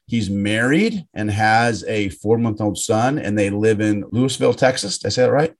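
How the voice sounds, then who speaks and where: A man's voice: on the low side, moderate pace. Man, podcast host, rambling, self-correcting, casual, video call interview.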